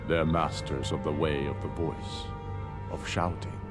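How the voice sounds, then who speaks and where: An actor playing a proud, grave, battle-hardened middle-aged rebel leader in a fantasy video game, speaking in a solemn, measured, oratorical way. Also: male voice, extremely low pitch, level tone. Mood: grave, reflective. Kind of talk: scripted video game dialogue. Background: background music.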